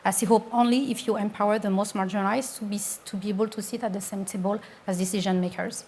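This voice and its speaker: A woman's voice: medium pitch, fairly even intonation, fast, darkish tone, a little hiss or hum. A woman from France speaking as a panelist, earnest and insistent. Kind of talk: panel discussion.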